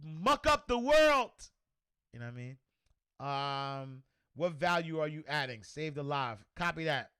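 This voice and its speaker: A man with a New York accent hosting a livestream, loudly and energetically answering viewer comments in casual chat. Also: a little high, unhurried, clean tone. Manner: loud and energetic, reads comments aloud. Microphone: desk microphone.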